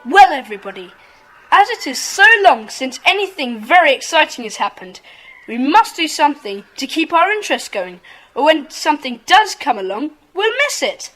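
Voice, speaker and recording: A woman playing an earnest, bossy schoolboy club leader in a children's audio drama, brisk and insistent. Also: sits high, very animated, a bit bright, low-quality recording.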